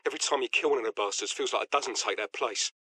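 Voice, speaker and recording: A man's voice: mid-range voice, very fast, pushed and bright, breathy edge, no echo. A man playing a weary, grim soldier recording a terse personal log in a video game. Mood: bleak. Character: weary, grim ordinary male guardsman soldier.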